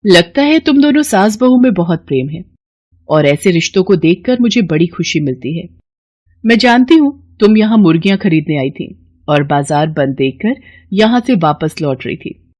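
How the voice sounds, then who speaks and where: A woman's voice: medium pitch, darkish tone, natural voice. A woman voicing a kindly, mysterious sorceress in a storybook tale, assured and instructive. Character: kindly mysterious sorceress who helps the sorrowful.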